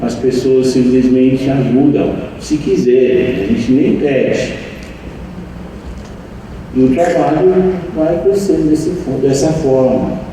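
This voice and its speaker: An older man who learned Portuguese as an adult, a meditation teacher giving a slow, reflective anniversary speech to an audience, with frequent pauses and restarts. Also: mid-range voice, fairly even intonation, dark tone. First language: not Portuguese. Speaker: meditation teacher and center leader. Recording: echoey room, distant mic, faint noise.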